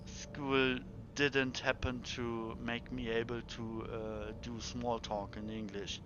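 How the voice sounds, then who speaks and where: A man's voice: mid-range voice, flat delivery, slow, lo-fi sound, background noise. A man with a German accent, a native German speaker, streaming a game and chatting hesitantly, searching for words and sometimes dropping to a whisper. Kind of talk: live-stream gameplay chat. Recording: game sounds loud behind voice.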